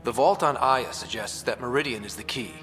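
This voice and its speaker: Actor as young space explorer leader in echoey hall. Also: male voice, medium pitch, fairly quick, fairly bright tone, close to an everyday voice. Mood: determined. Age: young adult. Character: determined, wry young male sci-fi explorer leader. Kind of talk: naturalistic video game cutscene acting. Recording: long echoey reverb, faint music behind.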